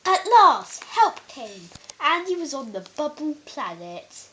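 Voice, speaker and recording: Slow, high-pitched female storybook reader. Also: sing-song, a bit bright, a little hiss or hum. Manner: halting, stumbling over words and correcting herself. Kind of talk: reading a children's bedtime story aloud.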